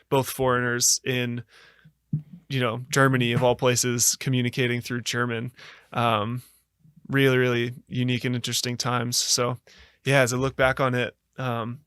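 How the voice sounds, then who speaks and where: Earnest American man, smooth flat voice. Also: medium pitch, dry recording, studio quality. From United States. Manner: earnest, halting, many fillers and restarts. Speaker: podcast guest. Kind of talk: reflective storytelling.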